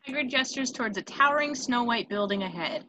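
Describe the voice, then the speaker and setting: A woman's voice: on the high side, lively intonation, a little fast, sharp tone. A woman reading scripted screenplay stage directions as narrator, on a dull, noisy home recording.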